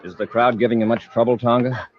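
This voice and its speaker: An actor playing an authoritative space patrol commander in an old radio serial, clipped and commanding. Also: male voice, mid-range voice, fairly even intonation, quick pace, close to an everyday voice. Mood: serious, probing. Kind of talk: old-time radio sci-fi acting. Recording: dull lo-fi old radio recording.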